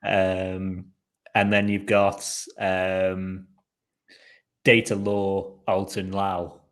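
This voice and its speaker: A young man co-hosting a casual podcast chat, rambling along with fillers and quick jokes. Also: deep voice, monotone, clear, resonant tone. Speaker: podcast co-host. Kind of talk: casual chat reviewing a TV episode.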